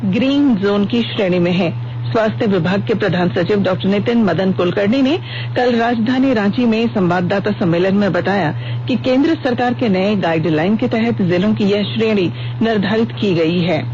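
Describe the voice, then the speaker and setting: A woman reading a scripted radio news bulletin in a formal, measured manner. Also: medium pitch, warm tone. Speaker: news reader. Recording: muffled radio broadcast with hum and noise.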